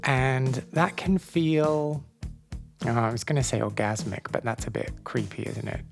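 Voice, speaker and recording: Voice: mid-range voice, fairly even intonation, unhurried, vocal fry, over music. A podcast host opening the show with a scripted intro, then chatting casually, dropping wry self-deprecating asides.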